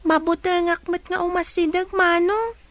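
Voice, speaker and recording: Young child for radio drama, piping very high, eager little sibling. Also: female voice, steady tone, moderate pace, fairly bright tone. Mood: curious, wary.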